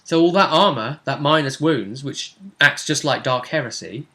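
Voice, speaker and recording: A man's voice: mid-range voice, normal speed, pushed and bright. A man hosting as game master in a casual chat, conversational and often seeking agreement.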